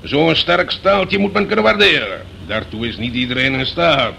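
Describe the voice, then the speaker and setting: A man's voice: on the high side, normal speed, sharp tone, exaggerated voice. An actor playing a pompous, blustering old retired general in a radio play, repeating himself as he reminisces. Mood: hearty, admiring. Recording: creaky radio drama recording with background hiss.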